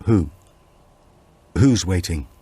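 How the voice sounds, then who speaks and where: An anxious, grieving father in an audiobook thriller, acted by a male reader. The voice is low and a little husky, quietly and carefully pressing with questions. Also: expressive, extremely slow, no echo, heavily acted. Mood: anxious, insistent. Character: anxious, grieving young father, gentle but insistent.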